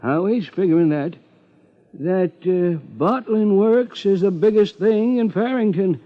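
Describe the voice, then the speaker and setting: A man's voice: high voice, a little slow. An actor playing a folksy, gossipy rural American lawman with a homespun drawl in a 1950s radio drama. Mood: matter-of-fact. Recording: muffled 1950s radio recording.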